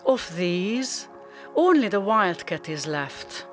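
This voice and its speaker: A woman's voice: medium pitch, big pitch swings, slow pace, faint music behind. A woman reading scripted, descriptive narration for a radio drama.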